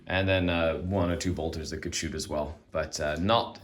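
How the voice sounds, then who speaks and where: A man with a hoarse, worn-out voice, hosting and explaining a tabletop wargame turn by turn in casual chat. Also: low-pitched, fairly even intonation, normal speed. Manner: chatty, explains moves step by step. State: hoarse, tired voice. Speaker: host. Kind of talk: casual battle report commentary.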